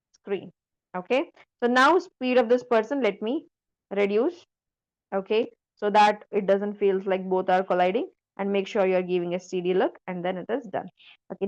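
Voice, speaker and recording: A woman with a high voice gives an instructional walkthrough of software. She explains step by step and keeps checking that the learner follows. The recording is dull and lo-fi. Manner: instructive, frequently checking the listener follows with short questions. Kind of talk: screen-share software tutorial.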